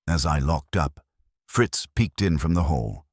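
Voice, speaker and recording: A man narrating a first-person detective story in a measured, dramatic storytelling delivery. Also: very deep voice, expressive, breathy edge, no echo, studio quality. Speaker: audiobook narrator.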